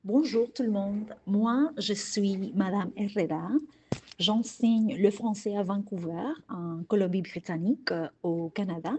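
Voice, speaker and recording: A woman French teacher from Cuba with a Cuban accent, Spanish her first language, speaking in a calm, deliberate and earnest way. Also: mid-range voice, lo-fi sound, a little hiss or hum. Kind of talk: prepared personal message.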